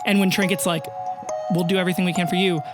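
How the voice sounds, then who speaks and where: A woman narrating a tabletop roleplay audio drama as game master, casual in wording with dramatic pauses. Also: fairly low pitch, little pitch movement, over loud music.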